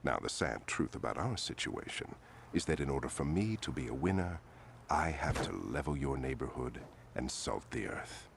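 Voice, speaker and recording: A middle-aged crooked politician in a game with a very deep, gravelly voice. He talks at length, genteel and condescending, savoring a theatrical villain monologue with wide swings in tone. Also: animated, moderate pace, noisy background, full character voice. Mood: smug. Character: smug, scheming middle-aged male politician.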